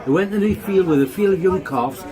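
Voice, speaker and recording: Casting: middle-aged dad reminiscing casually, slightly high-pitched male voice, clear chatter around him. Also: a little fast, low-quality recording. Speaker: father telling family stories. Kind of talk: casual anecdote-telling.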